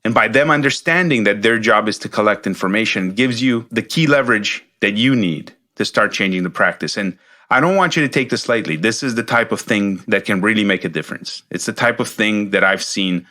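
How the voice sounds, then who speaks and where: A man delivers a coaching monologue as a podcast host. His voice sits on the low side but has a sharp, forceful edge. He speaks emphatically and instructively, restating key points so they land. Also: fairly quick.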